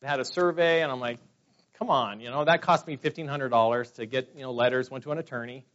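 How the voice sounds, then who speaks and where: A man's voice: medium pitch, fast, fairly bright tone. A man in his forties from California, telling personal stories to a meeting in a rambling, chatty way full of fillers. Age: 40s. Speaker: speaker at a business meeting. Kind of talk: casual personal testimony to a room. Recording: dull lo-fi sound.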